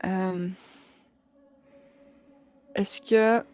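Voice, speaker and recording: A woman's voice: mid-range voice, steady tone, drawn-out words, darkish tone. A woman taking part in a tabletop roleplaying game, improvising casually and thinking aloud with hesitation.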